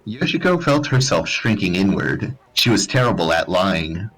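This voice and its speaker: A man's voice: low voice, very animated, normal speed, a bit bright, low-quality recording. A man narrating fan fiction as a live dramatized comedic reading.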